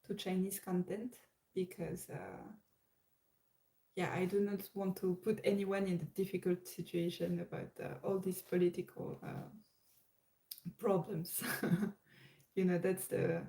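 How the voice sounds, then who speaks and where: French woman w/ french accent, slow and low-key, kinda flat, lots of uh's. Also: gravelly, low-quality recording. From France. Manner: hesitant, many fillers and restarts. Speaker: livestream host. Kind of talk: casual live chat.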